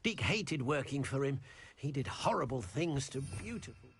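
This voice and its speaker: A man playing a gentle, earnest house-elf servant in a video game, polite and speaking of himself in the third person. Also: medium pitch, very animated, normal speed. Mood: somber, pained. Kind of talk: video game character dialogue.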